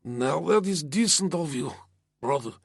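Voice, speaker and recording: A man voicing a dying wounded male soldier who talks slowly with weak, strained gallows humor. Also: on the high side, animated, creaky, no room sound, studio quality, voice pushed up, full character voice. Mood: wry, strained. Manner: slow, grinning gallows humor.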